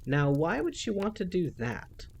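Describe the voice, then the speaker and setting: A man's voice: high voice, very animated, a little slow, vocal fry, pitched above the performer's own voice, exaggerated voice. Man putting on a mocking childlike villainess. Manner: mocking, sing-song, theatrical. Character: snide childlike female pixie villain, gloating.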